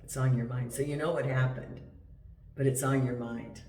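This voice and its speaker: A man with a croaky, gravelly voice from a cold talks through a tarot spread in an easygoing, rambling way. He sits back from the mic in an echoey room, with a faint hiss underneath. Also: medium pitch, steady tone, moderate pace, a little mellow, recorded from a distance. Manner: chatty, meandering, with many ums. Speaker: tarot reader. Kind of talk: casual tarot reading to camera. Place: home in Portugal.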